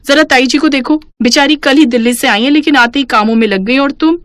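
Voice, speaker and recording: A woman's voice: sits high, a little fast, fairly bright tone, dry recording, studio quality, voice pushed up. A woman voicing an exasperated young daughter in a cartoon story, chiding and impatient. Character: scolding, exasperated young daughter, nagging her lazy mother. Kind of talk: Hindi cartoon moral story acting.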